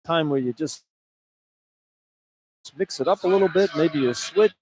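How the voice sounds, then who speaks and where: A man with a somewhat high voice works as the color analyst on a basketball radio broadcast. His commentary is conversational and informal, and he readily gives his opinion. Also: unhurried, a little mellow. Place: indoor college basketball arena.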